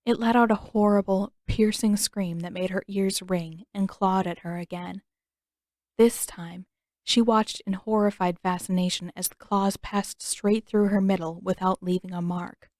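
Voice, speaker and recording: A woman narrates a scripted adventure story in a medium-pitched voice. The recording is dry and close, like an audiobook. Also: steady tone, measured pace, a little mellow, dry recording.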